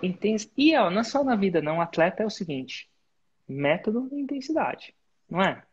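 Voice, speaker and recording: Husky man coaching students. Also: high voice, big pitch swings, brisk, muffled recording. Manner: direct, instructive, uses sports-belt metaphors. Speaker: business mentor and host. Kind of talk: live coaching session.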